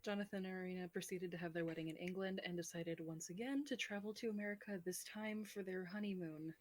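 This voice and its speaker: A woman's voice: fairly low pitch, fairly even intonation, fast, a little hiss or hum. A woman co-presenting, giving a scripted explanation to open a roleplay video. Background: music.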